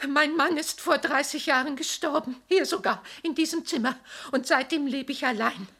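An actress playing an elderly widow who lives alone, speaking in a plaintive, tearful way with a gravelly edge in a radio drama. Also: female voice, high-pitched, normal speed, bright, forceful tone. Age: older. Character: elderly widowed woman tenant, lonely and fearful. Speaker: witness.

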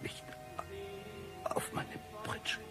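An actor playing a shady, self-pitying man who spins absurd hard-luck stories, deadpan and mock-tragic, in a satirical radio play. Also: extremely slow, loud music behind the voice. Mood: fading, dying.

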